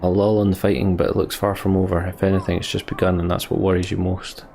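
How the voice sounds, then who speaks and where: A man's voice: sits low, flat delivery, fast, gravelly, a little mellow, slight background noise. A man hosting a let's play, commenting on the game and reading its story text aloud as narration.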